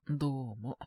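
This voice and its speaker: A man's voice: fairly high pitch, bright, forceful tone, breathy edge, dry recording, clean studio sound, heavily acted. An actor playing a sick young man with a cough, grumbling politely to his senior in an intimate Japanese voice drama. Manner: casual junior speech, mumbled reluctant replies, sighing. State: ill with a cold, frequent coughing fits. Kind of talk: Japanese boys' love situation voice drama, intimate one-sided dialogue.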